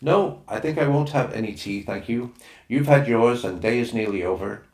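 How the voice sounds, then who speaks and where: A man voicing a kindly, brisk mother who playfully poses as a native in a storybook. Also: mid-range voice, a little mellow, lightly acted. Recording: roomy, echoing room sound.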